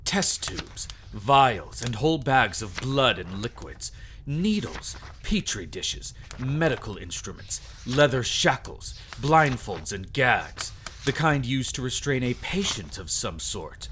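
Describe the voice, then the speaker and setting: A man's voice: mid-range voice, big pitch swings, slow pace, a bit bright, slight background noise. A man playing an aristocratic vampire son in an audio drama, with pompous, sardonic diction and a mocking, theatrical manner. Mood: smugly accusing. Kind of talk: scripted audio drama acting.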